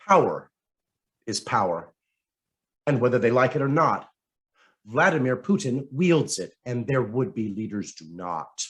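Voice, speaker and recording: Man reading an essay with dramatic, deliberate pauses. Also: mid-range voice. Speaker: reader of a written article. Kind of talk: scripted narration, reading an essay aloud.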